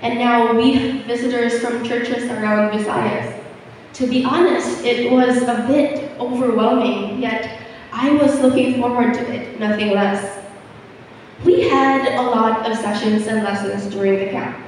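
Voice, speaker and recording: A young woman, a little hoarse and raspy from being unwell, candidly sharing a camp testimony. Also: a little high, fairly even intonation, unhurried, slight background noise. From Philippines. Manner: earnest, candid personal sharing. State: under the weather, slightly hoarse. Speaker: youth camper giving a testimony. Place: large church hall. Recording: echoey hall, mic from far off.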